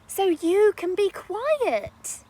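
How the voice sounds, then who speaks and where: Eager young storybook boy, played by a woman, high and animated, begging at bedtime. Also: drawn-out words, exaggerated voice. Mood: eager, pleading.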